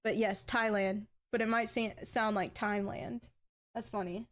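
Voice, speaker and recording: A young adult woman with an accent, chatting casually as a game streamer and bursting into excited exclamations. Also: mid-range voice, measured pace. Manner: chatty, bursts into excited "ooh"s over items. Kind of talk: casual live-stream chat.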